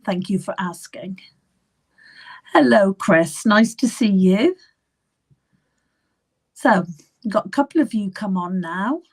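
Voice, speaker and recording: A middle-aged woman hosting a live psychic reading session, chatting gently with viewers by name, her voice still a little croaky and at times falling to a whisper. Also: mid-range voice, expressive, slow, pauses often. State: slightly croaky voice. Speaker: psychic medium.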